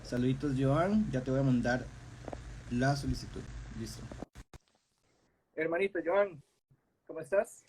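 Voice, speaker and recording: A man's voice: medium pitch, expressive, measured pace, pauses often, darkish tone, rough recording. A Costa Rican man with a Costa Rican accent hosting a casual video-call interview, friendly, asking open questions. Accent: Costa Rican Spanish. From Costa Rica. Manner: friendly, asks open questions with short backchannels. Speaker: host. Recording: faint background noise.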